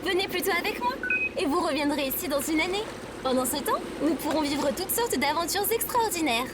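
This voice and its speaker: A woman's voice: high-pitched, lively intonation, a little fast, noisy background. A woman playing an earnest, curious and naive female AI droid in an audio drama. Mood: eager, curious.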